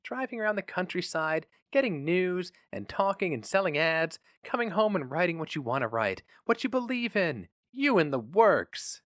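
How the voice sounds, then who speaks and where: A man's voice: high voice, sing-song, moderate pace, few pauses, a bit bright, no echo, studio quality, pitched above the performer's own voice. Man voicing a worried, pleading young wife.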